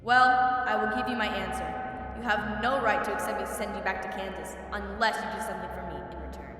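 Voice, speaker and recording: Performer as booming, echoing commanding wizard head. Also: steady tone, pushed and bright, soft background music, natural voice. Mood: stern, commanding. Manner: imperious, slow pronouncements. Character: Oz as a great terrible wizard, a commanding giant head. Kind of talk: audio play acting before a live audience. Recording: cavernous long reverb, distant.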